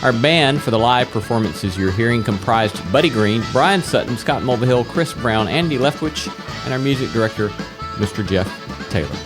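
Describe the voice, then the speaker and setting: A man's voice: medium pitch, lively intonation, normal speed. A man hosting a podcast, reading scripted closing credits. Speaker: podcast host. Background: acoustic guitar music.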